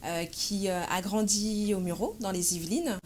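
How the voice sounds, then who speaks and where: A woman of about forty from France, answering interview questions in a measured, hesitant way with frequent pauses and fillers. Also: mid-range voice, darkish tone, a little hiss or hum. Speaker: interview guest, association president.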